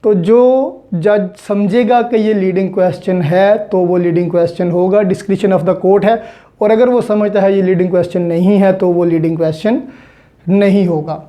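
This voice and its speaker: A woman's voice: medium pitch, fairly even intonation, normal speed, warm tone. A woman with a Pakistani accent giving an explanatory law lecture, mixing in English terms and repeating points in a didactic way.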